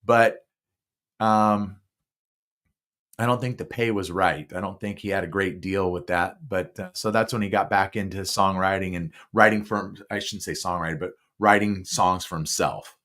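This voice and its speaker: A man's voice: sits low, fairly even intonation, normal speed, fairly bright tone. A man hosting a casual podcast, chatty and enthusiastic as a music fan. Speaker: podcast host.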